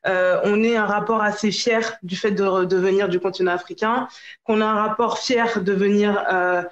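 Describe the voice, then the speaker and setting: A woman guest answers casually, often circling back as she searches for words. The recording is muffled and clearly echoey. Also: medium pitch, steady tone, moderate pace. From France, Paris region. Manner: hesitant, searching for words with repeated phrases. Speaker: podcast guest. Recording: echoey, dull lo-fi sound.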